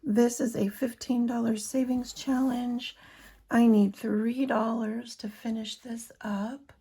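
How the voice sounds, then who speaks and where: A hoarse woman with a gravelly, husky edge, talking at an unhurried pace. She chats casually to her viewers about budgeting and counts cash out loud as she goes. Her voice sits a little high but sounds mellow. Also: fairly even intonation. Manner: chatty, talks to viewers while counting cash aloud. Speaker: budgeting vlogger. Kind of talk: casual chat while cash stuffing.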